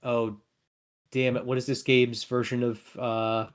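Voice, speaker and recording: A man's voice: mid-range voice, flat delivery, measured pace. A man narrating a tabletop game as game master in a casual, improvised, playful way.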